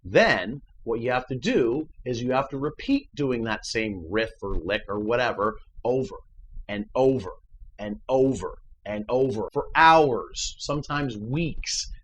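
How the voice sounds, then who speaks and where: A man with a baritone voice, a musician hosting his channel, talks earnestly and emphatically in a casual motivational chat. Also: animated, unhurried, a little hiss or hum, poor audio.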